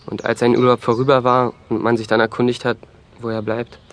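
An actor playing a gruff, defensive, hot-tempered young man in an audio drama. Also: medium pitch, flat delivery, a little fast, a little hiss or hum, natural voice. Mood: bitter, confiding. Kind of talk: German audio drama acting.

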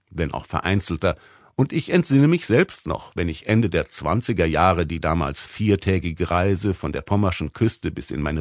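Deep male audiobook narrator, formal and measured, super dry studio sound. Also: dry recording. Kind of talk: scripted literary narration, audiobook reading.

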